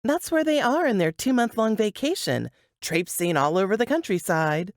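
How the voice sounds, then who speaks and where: A woman voicing a caring, upbeat foster sister in an audiobook, affectionate and gently teasing. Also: medium pitch, animated, normal speed, no room sound, clean studio sound, voice pushed up, close to an everyday voice.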